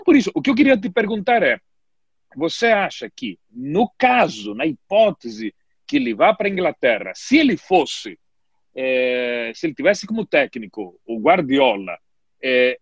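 A man discussing football in casual talk, animated and hesitant, with many fillers and restarts. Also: a little high, husky, no room sound, muffled recording. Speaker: football commentator. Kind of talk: podcast discussion.

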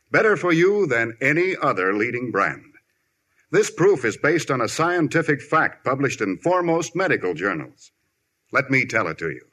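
Bright, emphatic American radio announcer man. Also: mid-range voice. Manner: emphatic, formal, persuasive. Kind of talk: 1950s scripted radio commercial announcing.

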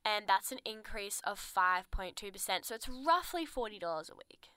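A woman's voice: mid-range voice, animated, moderate pace, sharp tone, clear, resonant tone, no room sound. A young Australian woman co-hosting a podcast in casual chat, chatty and giggly, full of "like". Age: young adult. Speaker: podcast co-host.

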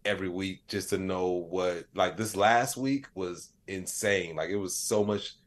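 A man's voice: deep voice, a little slow. A man speaking as a podcast guest in a casual, rambling, slangy conversational style. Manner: rambling, slangy, frequent "you know what I'm saying". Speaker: podcast guest, AI expert and comedian.

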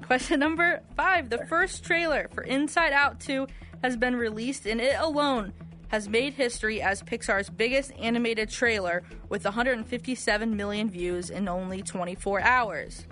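A woman's voice: fairly high pitch, moderate pace, bright, forceful tone. A woman reading trivia questions as a quiz host on morning radio, in a studio with music underneath. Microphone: studio radio microphone.

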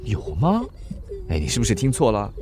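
A cynical young delivery guy in a radio drama. He is sarcastic, whiny and theatrical, and his pitch swings wildly as he teases and grumbles. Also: huge pitch swings, brisk, a little mellow, a little hiss or hum. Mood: teasing.

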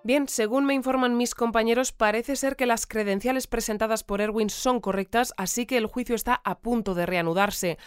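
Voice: medium pitch, smooth, dry recording, studio quality, natural voice. An actor playing a wordy, tongue-in-cheek news reporter covering a robot trial in a sci-fi audio comedy.

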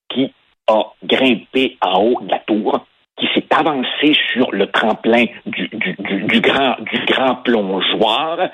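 A man's voice: mid-range voice, bright, forceful tone, breathy edge, no room sound, poor audio. A man whose first language is Spanish, speaking Quebec French as a passionate radio commentator who repeats words while building his points. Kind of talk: opinion talk on radio.

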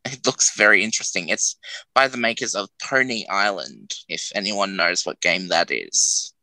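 Bright-toned man chatting casually. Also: deep voice, moderate pace, no room sound, low-quality recording. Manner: loose, hesitant, lots of fillers. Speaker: radio co-host. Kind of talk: casual game chat.

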